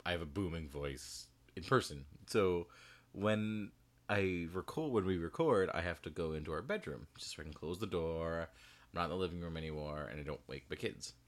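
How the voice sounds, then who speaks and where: A man's voice: low-pitched, lively intonation, moderate pace. A man co-hosting a podcast, chatting casually and animatedly, laughing often as he talks, recording in a small bedroom. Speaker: podcast co-host. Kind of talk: casual chat about a hobby. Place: small bedroom with the door shut.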